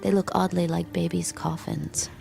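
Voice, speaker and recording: The haunted heroine of an audio drama. Her voice is fairly low with a creaky edge, intimate and intense over music. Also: flat delivery, moderate pace. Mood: somber. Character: haunted, intimate young woman narrator-heroine, quietly intense. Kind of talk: dramatized first-person narration.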